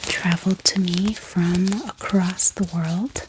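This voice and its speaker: A woman hosting an ASMR channel, speaking in a gentle, hushed whisper with pauses. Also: on the low side, fairly even intonation, background noise. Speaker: ASMR book channel host. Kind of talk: soft-spoken whispered ASMR.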